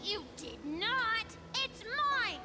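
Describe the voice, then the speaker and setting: A woman voicing an indignant, possessive young village child in a video game, in quiet game audio with music behind. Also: very high-pitched, sing-song, drawn-out words, full character voice.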